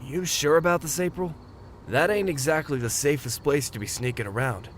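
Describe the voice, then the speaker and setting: A man's voice: a little high, normal speed, background noise, close to an everyday voice. A man playing a sceptical, concerned young street tough with colloquial speech in a comic-book audio drama. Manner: colloquial, doubtful.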